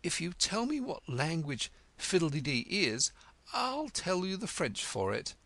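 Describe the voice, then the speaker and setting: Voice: medium pitch, wildly swooping pitch, measured pace, gravelly, fairly bright tone, slightly rough, no room sound, pitched above the performer's own voice, full character voice. A storybook reader voicing a polite young girl who answers earnestly and carefully.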